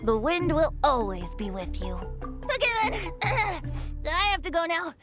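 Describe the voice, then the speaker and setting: Playful young male bard, high voice, sniffly, breezy game acting, music behind. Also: lively intonation, slow pace, full character voice. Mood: soothing. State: sniffly from allergies. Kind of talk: English game dub cutscene acting.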